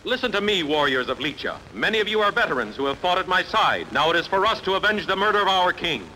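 A bold, wronged warrior hero, played by a man, declaims in a highish, very sharp and forceful voice. He is defiant and earnest, as in an old dubbed epic film, with faint noise on the soundtrack. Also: very animated, fast, exaggerated voice, low-quality recording. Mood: earnest, resolute. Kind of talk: dubbed sword-and-sandal film dialogue.

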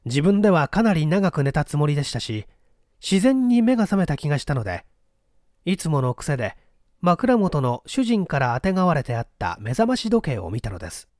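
A man reads a scripted mystery story in a measured storyteller's delivery. His voice sits a little high for a man and moves through a wide, lively range of pitch. The sound is dry and close, with no room around it. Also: no echo. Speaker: audiobook narrator, small-theatre stage actor. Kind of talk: scripted narration, mystery novel read aloud.

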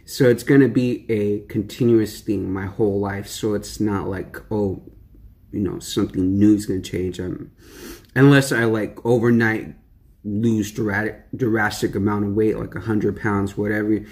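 Laid-back slangy young man, low voice. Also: steady tone, measured pace, a little mellow. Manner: laid-back, slangy, rambling with many fillers. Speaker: mukbang vlogger. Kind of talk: casual eating-show chat.

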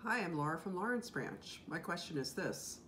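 Animated, low-pitched woman over noise. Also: moderate pace. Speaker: librarian reading a trivia question. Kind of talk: multiple-choice quiz reading. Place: home kitchen indoors. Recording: noisy home recording.

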